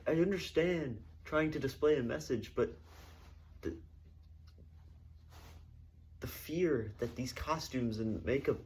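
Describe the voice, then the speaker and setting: A man voicing a distraught, indignant female ballet dancer in a halting, exasperated way for a mock newsreel interview. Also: mid-range voice, darkish tone, pitched above the performer's own voice. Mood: unsettled, exasperated. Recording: noticeable background noise.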